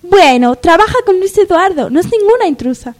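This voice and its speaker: A woman's voice: very high-pitched, expressive, brisk. A woman playing a defiant young daughter of the household in a parody radio soap opera. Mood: defiant, cheeky.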